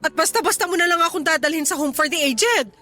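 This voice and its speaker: A woman's voice: extremely high pitch, expressive, fast, sharp tone, full character voice. An actress in a radio drama playing a hurt, sulky, stubborn mother who speaks reproachfully to her child. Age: middle-aged. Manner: reproachful, sulking. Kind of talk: Tagalog radio drama acting.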